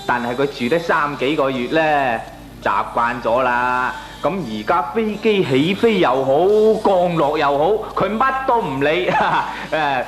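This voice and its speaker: An actor playing a shameless, glib dog owner in a radio comedy drama, arguing back with a Hong Kong Cantonese accent. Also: male voice, fairly high pitch, a bit bright. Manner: glib, chuckling, argues back with lame excuses. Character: shameless, jovial dog-owning male neighbour brushing off complaints.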